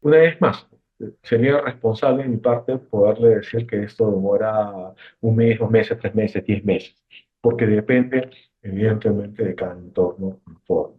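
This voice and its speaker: A man's voice: fairly low pitch, moderate pace, husky. A man presenting a webinar, answering questions off the cuff in a careful way, often repeating and rephrasing himself. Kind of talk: unscripted webinar Q&A explanation. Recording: muffled, phone-like webinar audio.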